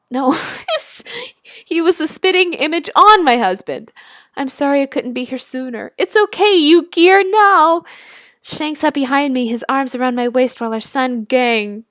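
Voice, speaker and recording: A young woman casually reading a story aloud, with chatty, expressive, giggly asides as she reacts to it. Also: sits high, normal speed, dry recording, studio quality. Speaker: YouTuber reading and reacting to fan fiction.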